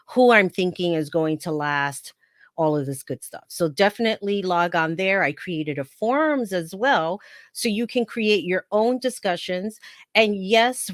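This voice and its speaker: A woman with a heavy New York accent chats about reality TV in a sarcastic, playful way. Her voice sits low. The recording is dry and close. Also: fairly bright tone, dry recording. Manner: sarcastic, playful, laughs often. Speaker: reality TV commentary host. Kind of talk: casual chatty commentary.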